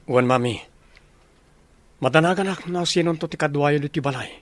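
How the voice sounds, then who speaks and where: A man's voice: a little high, normal speed, no room sound, lightly acted. A man playing a dutiful young army officer and devoted son in a radio drama. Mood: earnest, concerned.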